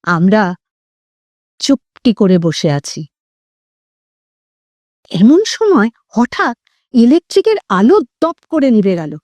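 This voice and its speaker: A woman's voice: mid-range voice, big pitch swings, takes it slowly, pauses often, a little mellow, no echo. A woman narrating a scripted horror story in a theatrical, suspenseful way, drawing out words for effect.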